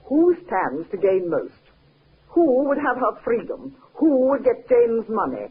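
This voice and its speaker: A jealous, accusing woman in an old radio drama. Her voice is high and very husky, swinging widely as she presses each point with bitter insistence. The old recording is muffled. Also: big pitch swings, takes it slowly, full character voice. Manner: insistent, emphatic, dramatic questioning. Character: bitter, jealous female cousin, accusing and scheming. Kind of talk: 1950s radio drama acting. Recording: muffled, narrow-band 1950s radio recording.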